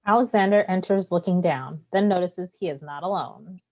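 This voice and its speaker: A woman's voice: on the low side, moderate pace, dark tone. A woman reading stage directions aloud as the narrator of a play, in scripted narration.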